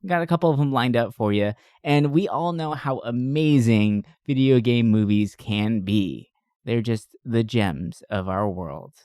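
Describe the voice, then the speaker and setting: A man's voice: medium pitch, measured pace, darkish tone, clean tone, no echo, clean studio sound. A man hosting a podcast in a casual, jokey, enthusiastic and chatty style. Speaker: podcast host.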